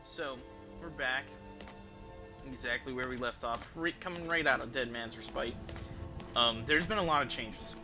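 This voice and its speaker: A man's voice: mid-range voice, moderate pace, pauses often. Casual male streamer over prominent music. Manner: rambling, informal, explanatory. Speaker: livestream host, gamer. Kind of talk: casual unscripted chat to stream viewers. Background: music behind the voice.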